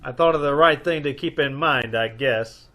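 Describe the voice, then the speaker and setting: An American man playing a wry, reluctant middle-aged gentleman detective in an old-time radio comedy, delivered deadpan. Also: a little high, moderate pace, natural voice.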